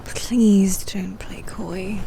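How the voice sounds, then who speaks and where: A woman playing a reserved, formal demon knight in a soft-spoken ASMR roleplay, guarded about love, speaking hesitantly and often close to a whisper. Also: medium pitch, steady tone, very slow, creaky, a little hiss or hum, poor audio. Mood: stern, quiet.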